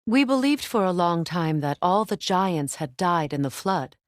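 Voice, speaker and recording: An actress playing a proud, battle-hardened middle-aged warrior goddess in a video game, with a measured, commanding, dry manner. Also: female voice, on the low side, sing-song, dry recording. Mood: calm, reflective. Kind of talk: video game character dialogue and battle callouts.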